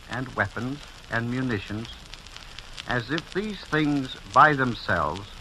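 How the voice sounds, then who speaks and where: Grave man doing a wartime radio speech, very sharp forceful voice, slight hiss, slow. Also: medium pitch, rough recording. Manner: grave, deliberate, oratorical. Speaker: government public information director. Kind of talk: solemn wartime radio address. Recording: hissy 1940s radio recording.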